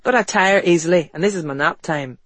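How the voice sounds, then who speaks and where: A man voicing a guarded, gruff, plain-spoken male character in a period audiobook. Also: sits high, brisk, fairly bright tone, no echo. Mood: gruff, deadpan. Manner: gruff, terse, wary.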